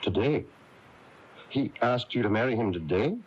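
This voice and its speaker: An actor playing a concerned small-town doctor in a 1980s radio drama, with a measured, gently questioning manner. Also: male voice, medium pitch, expressive, slightly rough. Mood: puzzled, concerned. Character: calm, probing small-town doctor, a concerned male confidant. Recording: dull lo-fi taped radio broadcast.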